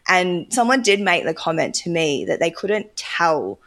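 A woman's voice: fairly low pitch, sing-song, measured pace, a bit bright. A woman talking thoughtfully in a casual discussion, often hedging with "kind of". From Australia.

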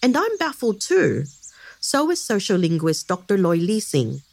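A woman hosting and narrating a documentary-style podcast, telling the story in a measured, expressive way. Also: mid-range voice, gravelly. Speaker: podcast host.

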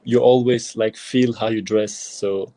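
A young man speaks English with an Albanian accent, his low voice dark and mellow. He keeps it fairly flat and even, pausing often on fillers and restarting his sentences as he answers casually. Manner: hesitant, many fillers and restarts. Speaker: footballer guest.

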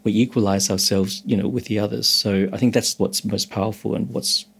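Low-voiced meditation teacher from Hong Kong. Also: male voice, steady tone, fairly quick, gravelly, a bit bright, husky. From Hong Kong, moved to Australia as a teenager. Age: 50s or older. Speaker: meditation instructor, interview guest. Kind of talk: explanatory interview talk.

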